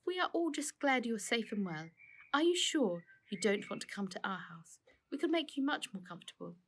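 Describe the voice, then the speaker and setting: A woman voicing a caring, calm mother, gentle and concerned, in a storybook reading. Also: a little high, normal speed, slightly rough, pitched above the performer's own voice, exaggerated voice. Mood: concerned, comforting.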